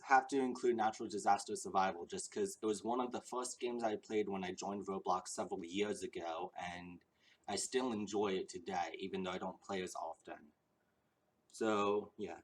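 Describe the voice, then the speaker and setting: A young man with a fairly low voice chats through viewer questions. He sounds earnest and eager, rambling along with ums and false starts. He is slightly short of breath. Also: normal speed, rough recording. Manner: rambling with frequent ums and restarts. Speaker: gaming YouTuber answering viewer questions. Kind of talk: casual Q&A chat.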